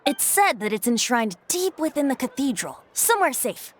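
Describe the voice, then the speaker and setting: A woman's voice: sits high, big pitch swings, normal speed, breathy edge, full character voice. A woman voicing a playful, secretive young male bard in a video game cutscene, talking in a lilting, teasing way. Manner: lilting, teasing, then soft and solemn. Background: game soundtrack music.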